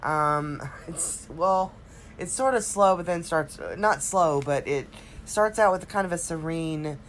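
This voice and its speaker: A woman podcast co-host with a throaty voice from a cold, chatting casually and haltingly through a TV recap. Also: fairly low pitch, a little hiss or hum. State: recovering from a cold, slightly congested.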